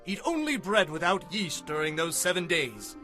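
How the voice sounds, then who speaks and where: A man's voice: high voice, very animated, normal speed, sharp tone, faint music behind, exaggerated voice. A man playing a fervent prophet solemnly addressing his people in a dramatized Bible reading, with a declamatory, emphatic delivery. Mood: solemn, exhorting.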